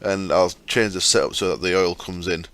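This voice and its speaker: A man with a fairly low voice and a sore, croaky throat, talking through his game. He chats casually as he explains each step, with plenty of ums and asides. He speaks into a desktop mic as he records his screen. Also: moderate pace, fairly bright tone, no echo. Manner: chatty, explains step by step with fillers. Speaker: gaming channel host.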